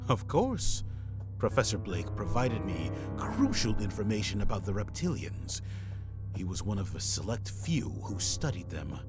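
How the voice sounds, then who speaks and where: An actor playing a grave, mysterious ex-criminal informant and desperate father in an adventure game, speaking cryptically and urgently, with phrases that drop toward a hush. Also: lowish voice, wildly swooping pitch, a little slow. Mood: solemn, warning. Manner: cryptic, urgent, dramatic delivery with hushed line endings. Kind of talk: dramatic point-and-click adventure game voice acting. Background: music.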